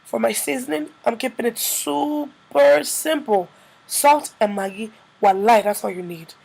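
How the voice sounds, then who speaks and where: A woman with a slightly hoarse, congested-by-a-cold voice, narrating a casual cooking tutorial as a vlogger. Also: on the high side, measured pace, darkish tone, rough recording.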